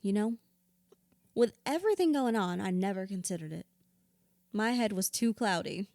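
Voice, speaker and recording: A woman's voice: mid-range voice, animated, normal speed, darkish tone, no room sound, clean studio sound, natural voice. A woman acting a troubled, guarded and blunt therapy patient in an audio drama, speaking plainly. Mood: subdued, confused.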